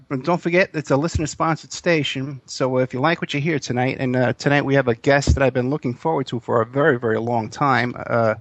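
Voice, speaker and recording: A man's voice: mid-range voice, no echo. A man in his fifties hosting a live radio interview show, talking casually with lots of fillers and restarts. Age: 50s. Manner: casual, rambling, many fillers.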